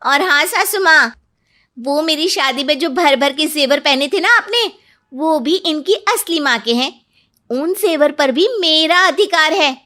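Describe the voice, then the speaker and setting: A woman playing a sharp-tongued, confrontational young daughter-in-law in a cartoon story. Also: sits high, animated, unhurried, full character voice. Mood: smug. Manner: brash, confrontational.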